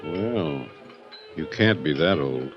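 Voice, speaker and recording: Aging ex-bootlegger fresh from prison, low, musing, world-weary, wry, in muffled vintage radio drama. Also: male voice, animated, takes it slowly, heavily acted. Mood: wary, weary. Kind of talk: 1950s radio drama acting. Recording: muffled 1950s radio recording with music.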